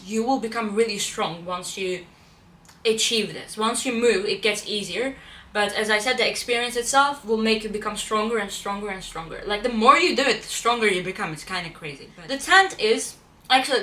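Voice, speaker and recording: Young Turkish-native woman vlogger, bright-toned and slightly high, chatty, in an echoey room a little off-mic. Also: distant mic. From Turkey. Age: young adult. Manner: chatty, repeats and restarts phrases, self-correcting. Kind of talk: casual talk to camera.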